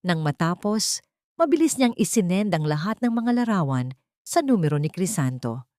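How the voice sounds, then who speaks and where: A woman's voice: on the low side, big pitch swings, moderate pace, darkish tone, dry recording, clean studio sound. A woman narrating a scripted dramatic story as its storyteller.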